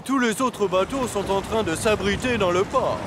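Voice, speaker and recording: Un homme interprète un gros engin affairé, pratique et attentionné, qui parle d'un ton vif. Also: male voice, high-pitched, noisy background, close to an everyday voice. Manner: brisk, caring. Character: big busy male engine, caring and practical. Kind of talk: French cartoon dub.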